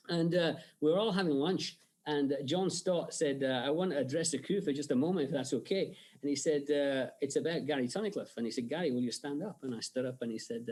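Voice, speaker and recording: An English man telling lively anecdotes as a guest in a casual interview, often slipping into mock accents. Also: fairly high pitch, breathy edge. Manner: animated storyteller who slips into Scottish impressions. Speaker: guest, special effects artist.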